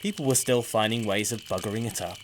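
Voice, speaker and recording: An actor playing a jaded, fatalistic mercenary in an audio drama, weary and drily sardonic. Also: male voice, on the low side, fast talker, slight background noise, natural voice.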